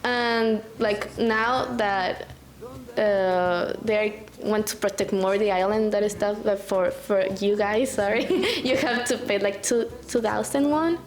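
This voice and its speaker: Woman from Ecuador, halting, echoey. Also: mid-range voice. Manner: halting, restarts words, laughs easily. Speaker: guest. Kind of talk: casual podcast chat.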